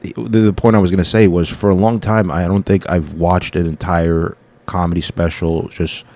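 A man's voice: low-pitched, little pitch movement, moderate pace, darkish tone. A man in his thirties, a comedian hosting his own podcast, rambling through his week in a casual, profane, self-correcting monologue.

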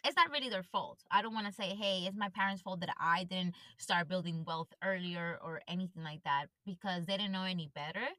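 A woman from Ecuador hosting a relaxed podcast conversation, her voice bright and a touch lower than most. She chats freely with many fillers and slips in Spanish phrases. The sound is close and dry, with no room around it. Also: brisk, few pauses, no echo, low-quality recording. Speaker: podcast host. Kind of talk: casual interview chat.

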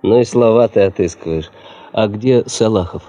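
An actor playing a seasoned, practical, gruffly good-natured geologist in a Soviet radio play, speaking plainly and tersely. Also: male voice, fairly low pitch, normal speed, mellow, lightly acted. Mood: amused, friendly.

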